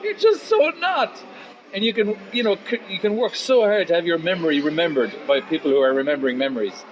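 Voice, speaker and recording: A man of fifty, a musician chatting casually and philosophically in an interview, blunt and sweary, rambling into animated asides. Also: sits high, fast, highs cut off. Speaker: musician and guitar teacher being interviewed.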